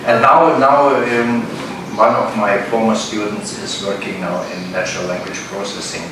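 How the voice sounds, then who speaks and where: A man in his seventies from Germany, answering interview questions in a halting way as he searches for words. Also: fairly low pitch, steady tone. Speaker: professor being interviewed. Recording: echoey room, distant mic, noisy.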